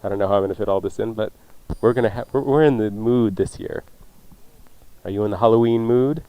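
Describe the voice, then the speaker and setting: A man's voice: lowish voice, fast, warm tone, clear, resonant tone, rough recording. A man co-hosting a radio show in casual, chatty banter, explaining things in a breezy way.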